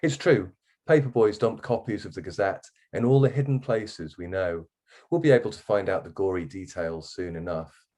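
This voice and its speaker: A man reading a first-person story aloud as a guest author, in an expressive literary narration style. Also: low voice, moderate pace.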